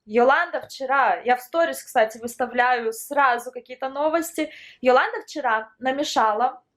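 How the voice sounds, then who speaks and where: A woman's voice: sits high, normal speed, fairly bright tone. A woman in her thirties chatting casually in a home vlog, rambling from topic to topic and laughing often. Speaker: vlogger. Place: at home, indoors.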